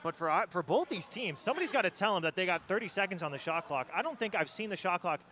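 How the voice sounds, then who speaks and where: A man's voice: high voice, animated, quick pace, bright, forceful tone. A man doing casual, colloquial color commentary on a live basketball game. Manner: colloquial, conversational. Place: basketball gym.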